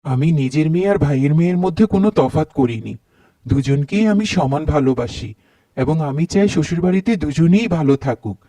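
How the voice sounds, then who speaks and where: A man voicing a rustic, soft-hearted middle-aged father in a cartoon, with a Bangladeshi accent and a pleading manner. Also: on the high side, lively intonation, normal speed, no room sound. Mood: moved, grateful. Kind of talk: Bengali cartoon voice acting.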